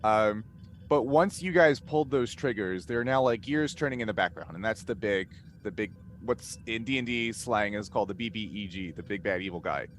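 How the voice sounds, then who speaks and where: A man chatting casually on a panel video call, explaining things in a halting, discursive way. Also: lowish voice, expressive. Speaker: cast member and game master. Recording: noisy video call audio.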